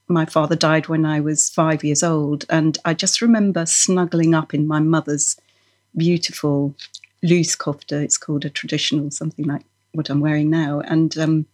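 Slow, low-voiced woman from Norway. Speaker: podcast guest, felt maker. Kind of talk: reflective interview conversation.

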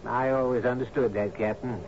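An actor playing a patient, deferential older manservant to a sea captain in a 1970s radio drama, measured and gently hesitant. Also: male voice, mid-range voice, steady tone, natural voice. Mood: guarded, respectful. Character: deferential, patient longtime male servant to a captain. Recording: dull, noisy 1970s radio broadcast.

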